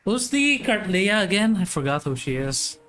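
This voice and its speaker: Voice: medium pitch, very animated, normal speed, a bit bright. A gamer giving casual, offhand gameplay commentary in short reactions. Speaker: gaming video creator.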